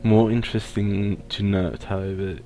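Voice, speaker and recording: A man's voice: lowish voice, level tone, moderate pace, mellow. A man giving casual let's play commentary, reading text aloud and stumbling over technical words. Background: faint video game music.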